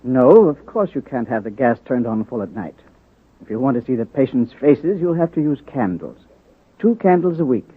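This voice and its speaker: A man's voice: mid-range voice, very animated, normal speed, husky. An actor playing a stingy, stern male hospital administrator in 1940s radio style. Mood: curt, scolding. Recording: tinny old radio recording.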